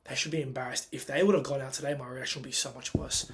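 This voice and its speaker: A man who has lost his voice, giving a casual post-match reaction rant as a football fan. He is agitated and emphatic, and his voice climbs in pitch and loudness when he gets angry. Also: fairly even intonation, fast, background noise. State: hoarse, lost voice.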